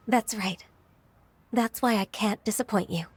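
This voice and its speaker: A woman playing a gentle, modest, ageless secretary in a video game, speaking politely and earnestly. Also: medium pitch, slight background noise, lightly acted. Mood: resolved. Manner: soft-spoken, polite, earnest. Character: gentle, modest, ageless half-divine young-looking female secretary. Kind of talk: video game character acting.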